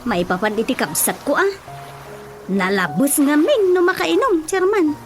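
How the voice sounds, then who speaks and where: A polite, troubled village woman with an Ilocano accent , played by an actress, speaks deferentially in a high voice. It is radio drama acting over prominent music. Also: animated, normal speed. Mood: worried.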